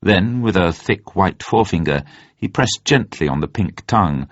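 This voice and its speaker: A man reading scripted fiction as narrator, with measured, deliberate storytelling. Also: deep voice, lively intonation, no echo, muffled recording. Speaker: narrator, reader.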